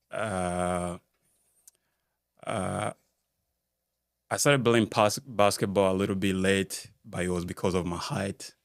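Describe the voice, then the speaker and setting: Deep, flat-voiced man from Rwanda. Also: clear, resonant tone, dry recording, studio quality. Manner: halting, searches for words, many fillers. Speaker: basketball skills coach. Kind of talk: casual podcast interview. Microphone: studio mic.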